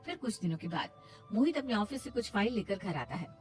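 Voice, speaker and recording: A woman's voice: mid-range voice, big pitch swings, fast talker, faint music behind. A woman reading scripted narration for a cartoon moral story.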